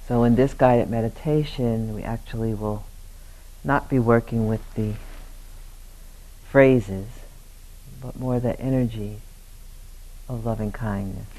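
A man leading a guided meditation as a teacher, speaking gently in short, unhurried phrases with long pauses. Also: medium pitch, steady tone, low-quality recording.

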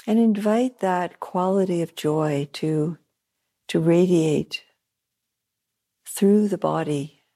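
A woman meditation teacher leading a guided meditation at a retreat center, soft-spoken, with long pauses and gently repeated phrases. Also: fairly low pitch.

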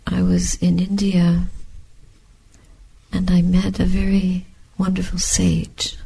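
An older woman, a Buddhist meditation teacher, speaking softly and breathily in a measured, contemplative way, with short phrases and long pauses. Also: fairly low pitch, monotone, creaky, husky, low-quality recording. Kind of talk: reflective dharma talk and guided meditation.

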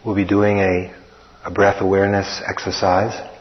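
A man teaching a guided meditation in an unhurried manner, leaving long pauses between short phrases. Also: low voice, monotone. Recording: dull, muffled recording.

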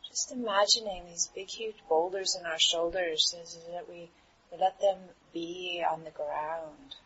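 A woman meditation teacher guiding a relaxation in a soothing, unhurried manner with trailing pauses. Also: lowish voice, fairly even intonation, a crowd in the background. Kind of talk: guided meditation. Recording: dull, muffled recording with clear background noise.

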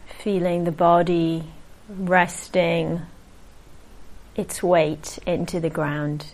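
A woman meditation teacher leading a guided meditation in a gentle, unhurried manner, leaving long pauses. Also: lowish voice, flat delivery, low-quality recording.

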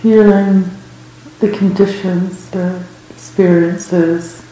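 A woman leading a guided meditation as a teacher, speaking gently and unhurriedly in short phrases with long pauses. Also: on the low side, level tone. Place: reverberant indoor room at a retreat. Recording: very echoey room, faint background noise.

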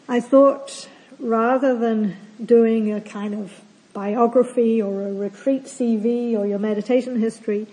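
A woman meditation teacher giving a calm, gentle opening talk at a retreat. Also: on the high side, rough recording. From not from Australia. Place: retreat centre hall.

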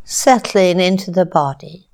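Very slow, low-pitched woman guiding meditation. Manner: calm, measured delivery with long pauses between short phrases. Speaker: meditation teacher. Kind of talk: guided meditation.

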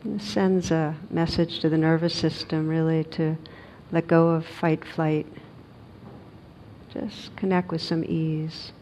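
A woman meditation teacher leading a guided meditation in a gentle, unhurried manner with long pauses, on a recording with some noise. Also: level tone. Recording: dull recording with noise and rumble.

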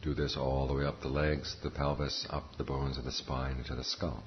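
A man giving guided meditation instruction as a teacher, calm and measured, pausing between short phrases. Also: extremely low pitch. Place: meditation center talk. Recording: muffled recording with faint background noise.

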